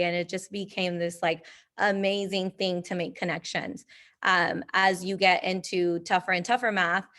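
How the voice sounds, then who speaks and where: Bright-voiced Argentine-born woman teacher. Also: lowish voice. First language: Spanish. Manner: reflective, many filler ums. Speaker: math teacher. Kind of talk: panel discussion.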